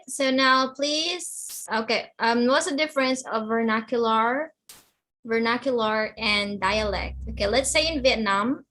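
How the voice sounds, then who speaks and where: A woman's voice: on the high side, fairly bright tone, poor audio. A woman teaching an English class online, explaining in simple phrases, repeating herself and checking that students follow. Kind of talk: online lesson, explaining words and questioning students.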